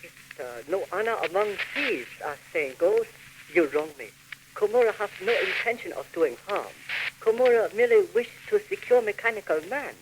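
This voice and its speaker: An actor playing a sinister, courteous Japanese government agent in a 1940s radio drama, with a put-on Japanese accent and clipped, formal broken English. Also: male voice, high-pitched, lively intonation, moderate pace. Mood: smooth, scheming. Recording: noisy old 1940s radio recording.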